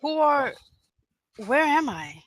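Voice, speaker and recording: An actress at a table read playing a frightened, confused woman, pleading and halting. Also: high voice, very animated, a bit bright, exaggerated voice. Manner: fearful, halting.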